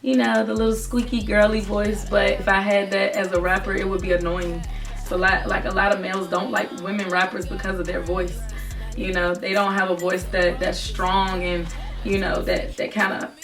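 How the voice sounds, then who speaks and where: Casting: young woman, naturally raspy, earnest casual vlog talk, a bit off-mic over soft music. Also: mid-range voice, level tone, normal speed. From Virginia, United States. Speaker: rapper and vlogger. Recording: voice from a distance with faint music.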